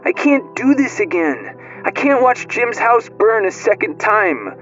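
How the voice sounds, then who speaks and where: Man voicing a frightened boy, pitched high. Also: big pitch swings, measured pace, fairly bright tone, dull audio, soft background music, heavily acted. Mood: panicked, pleading. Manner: panicked, pleading, exclaiming. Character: frightened, desperate young boy hero.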